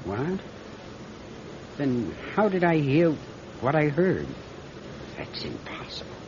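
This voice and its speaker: Radio drama: seasoned airline captain, commanding yet rattled, very animated pitch, dull noisy old recording. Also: male voice, slow, heavily acted. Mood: shaken. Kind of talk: classic radio drama acting.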